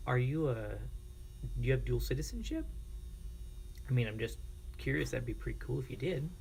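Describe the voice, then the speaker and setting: An American man streaming casually, chatting informally and reacting to viewers in a creaky, gravelly voice. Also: mid-range voice, animated, brisk, a little mellow, slight background noise, rough recording. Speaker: live streamer. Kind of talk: casual livestream chat with viewers.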